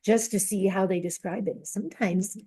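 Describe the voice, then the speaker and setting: A woman's voice: medium pitch, fairly even intonation, normal speed, mellow, breathy edge. An older woman talking in a casual book club discussion in a rambling, reflective way.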